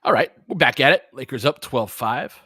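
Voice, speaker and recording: Casting: American male sports streamer, bright forceful voice, close dry sound, informal play-by-play mixed with chat. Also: medium pitch, normal speed, slightly rough, no room sound. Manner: conversational, reacting to chat comments. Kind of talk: casual live sports commentary and chat.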